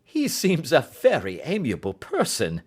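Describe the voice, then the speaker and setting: Audiobook sleuth, played by a male narrator: raised pitch, very animated contours, precise, bland and imperturbable. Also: measured pace, husky, heavily acted. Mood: amused. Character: cool, keen, unflappable male detective. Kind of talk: audiobook character acting.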